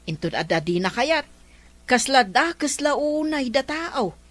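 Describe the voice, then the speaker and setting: A woman's voice: sits high, big pitch swings, measured pace, fairly bright tone, no echo, full character voice. A woman acting a complaining village housewife in a comedy radio drama, with a Filipino accent. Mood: aggrieved.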